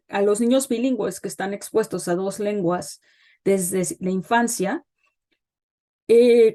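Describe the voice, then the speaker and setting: A middle-aged woman, a guest expert, explains child development in an interview, pausing often with fillers as she thinks. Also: medium pitch, a little mellow. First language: Spanish. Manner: thoughtful, pauses with frequent fillers.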